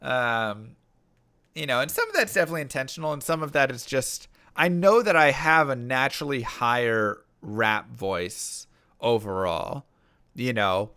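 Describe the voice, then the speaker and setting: A man's voice: medium pitch, expressive, normal speed, bright, forceful tone, smooth, no room sound, studio quality. A man streaming live, casually answering chat questions and rambling with fillers and restarts. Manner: rambling, lots of fillers and restarts, reads chat messages aloud. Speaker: streamer and rapper.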